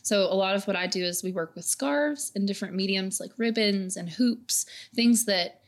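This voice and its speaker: A woman's voice: mid-range voice, moderate pace. A woman guest who teaches dance, talking in a friendly, reflective way on a podcast. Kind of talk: podcast conversation.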